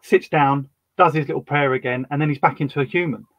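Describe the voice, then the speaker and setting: A man's voice: a little high, fast, no room sound. Easygoing man on a dull line. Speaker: podcast co-host. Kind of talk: casual chat.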